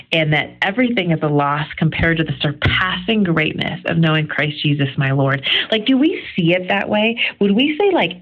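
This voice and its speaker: Middle-aged woman, low pitch, creaky fry, earnest informal devotional talk, conversational, emphatic. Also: highs cut off. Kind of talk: informal devotional reflection on scripture.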